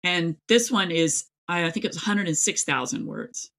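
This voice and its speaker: A woman's voice: fairly low pitch, very animated, normal speed, vocal fry, fairly bright tone. A middle-aged woman from East Tennessee, an author talking casually and emphatically as an interview guest, telling things in a rambling way. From East Tennessee, United States. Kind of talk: casual, chatty interview talk.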